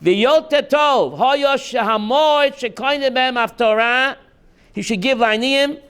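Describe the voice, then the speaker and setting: A rabbi teaching a class, reading text slowly and then explaining it in while quizzing his students. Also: extremely high pitch, a bit bright, smooth. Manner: reads Hebrew text aloud slowly, then explains in English, quizzing listeners.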